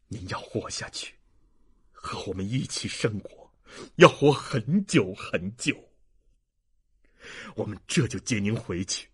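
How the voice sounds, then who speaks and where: A man's voice: medium pitch, slightly rough, heavily acted. A man voicing an earnest young husband in an audiobook, fervent, remorseful and imploring. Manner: fervent, pleading. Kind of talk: dramatized audiobook reading.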